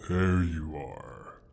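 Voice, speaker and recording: A man voicing a proud, menacing dragon guarding his hoard in a slow, theatrical fantasy roleplay, with a breathy rumble and a deliberate, condescending manner. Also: a little mellow, full character voice. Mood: suspicious. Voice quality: husky, breathy rumble with whispered edges. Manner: deliberate, brooding, condescending, sighing.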